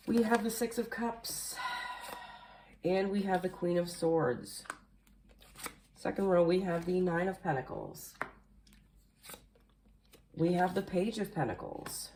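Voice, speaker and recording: A woman giving a casual tarot card reading, chatty and rambling with lots of fillers, a little nasal and hoarse from allergies. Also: on the low side, warm tone, a little hiss or hum. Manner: chatty, rambling with many fillers, occasional coughs. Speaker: tarot reader. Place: indoors at a table at home. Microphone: camera facing the table, speaker mostly off screen.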